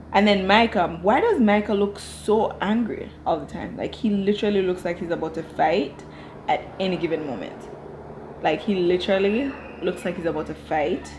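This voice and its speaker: A woman's voice: medium pitch, a little mellow, slight background noise, low-quality recording. A young Jamaican-accented woman, raised speaking Dutch, giving a blunt, chatty TV review full of swearing and tangents. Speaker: TV show reviewer. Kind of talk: casual reaction commentary.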